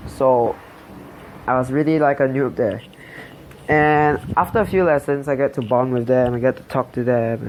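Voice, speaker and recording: Slow, halting teen guy. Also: mid-range voice, slight background noise, poor audio. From Singapore. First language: Chinese. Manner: hesitant, halting, many fillers and restarts. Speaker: channel host. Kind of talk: personal life story voice-over.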